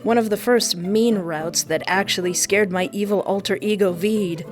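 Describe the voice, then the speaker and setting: A young woman hosting a countdown of game characters, excitable and goofy, often interrupting herself. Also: mid-range voice, normal speed, faint music behind. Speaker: youtube host.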